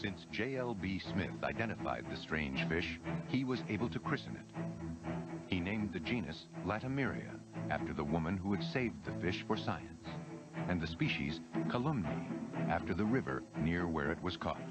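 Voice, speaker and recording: A man narrates a documentary in a very low voice. His scripted delivery rises and falls in a lively way, with loud music playing behind him. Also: normal speed.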